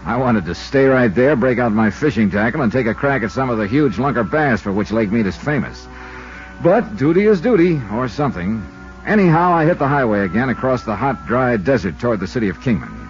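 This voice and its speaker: A man acts out a self-assured American investigator from 1950s radio drama. His delivery is wry and very animated in pitch. The sound is an old, muffled broadcast with music clearly behind it. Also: a little fast, natural voice. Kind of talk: 1950s radio detective drama narration and dialogue. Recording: dull 1950s radio recording, loud music.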